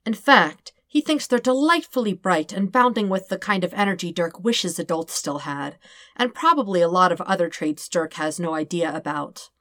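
A woman reading fan fiction aloud as an expressive narrator. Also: fairly low pitch, moderate pace, no echo, clean studio sound. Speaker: podfic reader.

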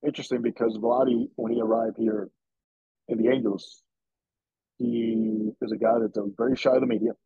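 A man's voice: medium pitch, gravelly, slightly rough, no echo, muffled recording. A man from the Dominican Republic, a former player turned broadcaster, earnestly telling stories in an interview and acting out quotes. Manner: earnest, animated, quotes others in voices. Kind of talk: conversational interview storytelling.

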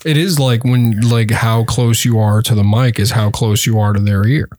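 A man's voice: medium pitch, steady tone, a little mellow, no echo. Man with dry, close-mic voice. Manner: short, quick, agreeable interjections. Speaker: podcast co-host. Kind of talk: casual chat. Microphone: close studio mic.

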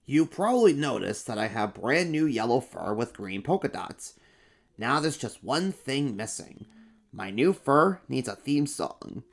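Brief: man playing a vain monster emperor, slow and showy, gravelly edge, storybook. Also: mid-range voice, sing-song, heavily acted. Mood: boastful. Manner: boastful, showy, then sheepish. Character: vain, attention-hungry male monster emperor.

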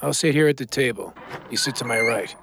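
An actor playing a streetwise, commanding gambler in trouble, giving clipped orders in a noir audio drama. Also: male voice, mid-range voice, brisk, vocal fry, a bit bright, slight background noise. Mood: guarded, instructing.

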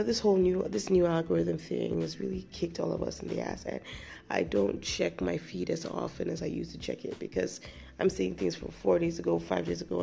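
Chatty woman with a creaky, lingering-cold voice. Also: fairly low pitch, warm tone. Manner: chatty, rambling, frequent restarts and laughs. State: recovering from a cold, chesty cough. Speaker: podcast host. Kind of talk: casual storytelling monologue.

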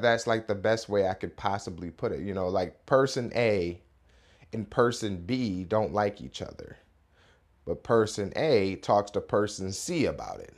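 A man in his thirties hosting a casual morning podcast, his voice hoarse and a little raspy, rambling with fillers. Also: lowish voice. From Rochester, New York area. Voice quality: relaxed, rambling delivery with many fillers. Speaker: podcast host.

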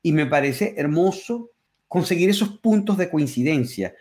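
A man's voice: on the high side, animated, normal speed, a little mellow. A man from Venezuela, telling stories with enthusiasm and reflection as a guest in a conversational interview over a remote call. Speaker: interview guest, musician and comedian.

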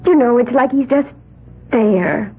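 A woman's voice: a little high, measured pace, slightly rough, narrow, tinny audio. An actress playing a dreamy young woman with odd beliefs who babbles in a wide-eyed, sing-song way, in a 1970s radio drama. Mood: earnest, dreamy. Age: young adult. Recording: band-limited old radio broadcast.

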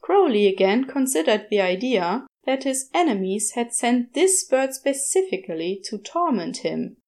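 A woman's voice: fairly high pitch, sing-song, measured pace, a little mellow. A woman reading a story aloud as scripted narration. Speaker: podfic reader.

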